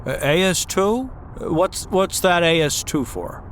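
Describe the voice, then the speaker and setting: A man's voice: high voice, lively intonation, slow pace, a bit bright, slight background noise, full character voice. A man playing a troubled, bewildered small-town family man in an old-time radio drama, with a hesitant, stammering delivery. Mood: puzzled.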